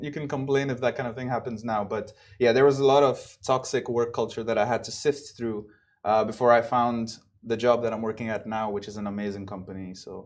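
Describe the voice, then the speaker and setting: A man's voice: mid-range voice, fast. A young man from Dubai, a comedian guest telling stories in a casual chat, acting out quotes in a raised voice. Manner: animated, acts out quotes in raised voice. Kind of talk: casual podcast storytelling.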